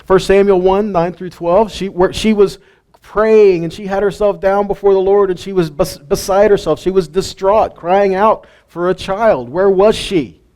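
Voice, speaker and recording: A man with a slightly high voice teaches scripture in a relaxed, conversational way. He keeps firing rhetorical questions at his listeners and drops in the odd joke. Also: moderate pace, clear, resonant tone. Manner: animated, asks rhetorical questions, jokes with listeners. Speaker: teacher of a weekly Torah portion. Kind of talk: informal Bible teaching.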